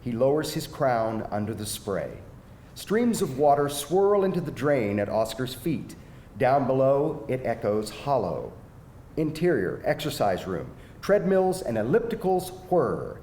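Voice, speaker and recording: A man's voice: big pitch swings, measured pace, a little mellow. A man narrating a screenplay's stage directions as scripted narration at a live table read. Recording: echoey live room, faint rumbling noise.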